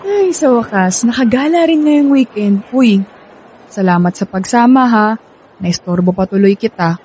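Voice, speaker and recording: A woman's voice: a little high, animated, normal speed, warm tone, a little hiss or hum, natural voice, rough recording. An actress playing a sassy, guarded young woman in a radio drama, teasing and brusque in manner. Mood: casual, wistful. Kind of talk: Filipino radio drama acting.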